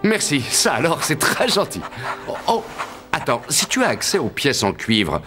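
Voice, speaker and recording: An actor playing a cheerful, naive young soldier in an animated fairy tale, expressive and full of exclamations. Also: male voice, on the high side, moderate pace, music in the background. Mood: amazed, delighted. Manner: expressive, exclamatory, talking to himself. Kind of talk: French-language animated fairy-tale dub.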